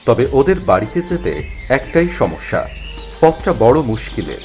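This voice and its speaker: A man narrating a spooky first-person story in an expressive, dramatized way. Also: mid-range voice, measured pace. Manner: expressive, acts out the character's worried thoughts. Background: faint music.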